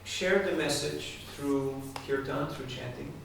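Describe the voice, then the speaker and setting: A man with a mid-pitched, gravelly voice delivers a devotional talk, turning often to his listeners with direct questions. He is recorded from a distance in a large, very reverberant hall. A faint hiss sits under the recording. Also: unhurried, fairly bright tone. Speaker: spiritual speaker. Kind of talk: devotional talk to a live audience. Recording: echoey hall, distant mic, faint noise.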